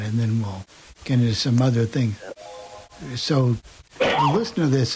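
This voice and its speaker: Male co-host, mid pitch, informal live radio talk, slight background hiss. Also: dark tone, poor audio.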